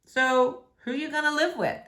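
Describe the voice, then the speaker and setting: A woman's voice: high voice, steady tone, normal speed, a bit bright, voice pushed up. Woman as a brash slangy teenage boy. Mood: blunt, curious. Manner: slangy, blunt, teasing.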